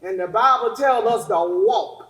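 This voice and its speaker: A woman's voice: fairly high pitch, a little slow, a bit bright. A woman preaching a call-and-response church sermon, emphatic and repeating phrases for effect. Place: large echoing room with an audience. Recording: echoey hall with congregation responding.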